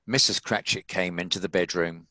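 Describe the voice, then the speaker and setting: A man's voice: sits low, fairly even intonation, normal speed, bright, forceful tone, no echo. A man reading scripted story narration as the narrator. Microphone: home video-call recording.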